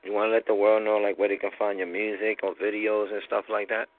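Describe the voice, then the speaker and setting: A man hosting an interview, asking casual questions in a halting way with many ums and restarts. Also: on the low side, flat delivery, dry recording. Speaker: interview host. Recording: dry, tinny phone-like sound.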